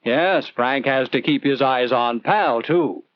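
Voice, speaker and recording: A man's voice: medium pitch, slow, no room sound. 1940s educational film narrator, man, lo-fi soundtrack. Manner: earnest, conversational, rhetorical questions to the viewer. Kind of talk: 1940s instructional film narration, scripted storytelling.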